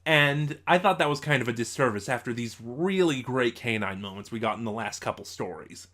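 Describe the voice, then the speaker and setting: A man presents a casual review in an ordinary mid-pitched voice. He speaks haltingly, letting phrases trail off and then landing firmly on certain words. It sounds like an informal host talking through his opinions. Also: lively intonation, sharp tone. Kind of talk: casual spoken review of audio dramas.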